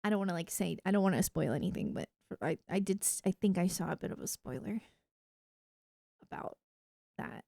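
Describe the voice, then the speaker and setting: A woman's voice: lowish voice, animated, brisk, creaky, long pauses, mellow, dry recording, clean studio sound. A woman livestreamer chatting casually with her viewers in an enthusiastic, emphatic gamer way. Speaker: video game livestreamer.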